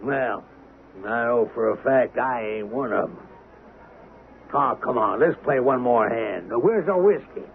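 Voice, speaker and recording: Gravelly, slow-talking crooked western gambler. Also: male voice, medium pitch, expressive. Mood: scornful, breezy. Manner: mocking, blustering, folksy drawling. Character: crooked Old West gambler, brash, scornful, ruthless. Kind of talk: 1970s radio drama acting. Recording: narrow-band vintage radio recording with faint hiss.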